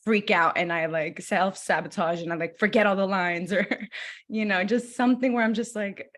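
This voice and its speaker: A woman's voice: mid-range voice, moderate pace, a bit bright. Rambling young woman, casual interview guest. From Los Angeles, USA. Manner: rambling, many fillers and restarts. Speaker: guest actor.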